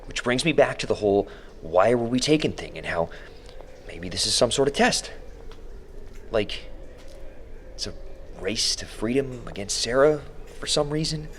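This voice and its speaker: An actor playing an anxious captive man who thinks aloud haltingly in an audio drama monologue. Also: medium pitch, expressive, fairly bright tone, lightly acted. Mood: musing, uneasy. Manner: halting, musing aloud, self-questioning. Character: anxious captive man exploring an underground maze. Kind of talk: audio diary monologue in fiction podcast drama. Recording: noisy ambient background.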